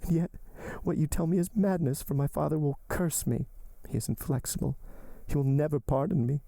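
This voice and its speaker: A tearful young woman who pleads and implores, her voice lifted high with a soft, dark mellowness underneath, as a male audiobook reader puts her on. It is close and dry, with no room around it. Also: brisk, gravelly, no echo. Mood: imploring. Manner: trembling, imploring delivery. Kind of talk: audiobook character voice.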